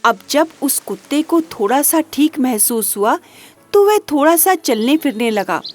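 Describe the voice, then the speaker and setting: A woman with a high voice tells a children's tale. She takes her time, moving slowly through simple narration in the manner of a storyteller.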